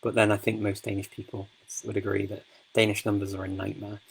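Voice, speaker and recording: Host, male, lowish voice, relaxed live-stream chat, chatty and rambling. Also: fast talker, creaky, poor audio. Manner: chatty, warm, lots of fillers and restarts.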